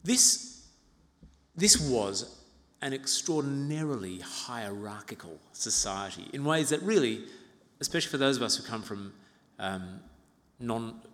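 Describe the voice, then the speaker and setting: A man in his fifties from Uganda preaching an expository sermon as a pastor, in a measured, reflective way with pauses and gentle asides. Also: mid-range voice, animated. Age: 50s. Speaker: pastor preaching to own congregation. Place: large reverberant church interior. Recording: reverberant hall.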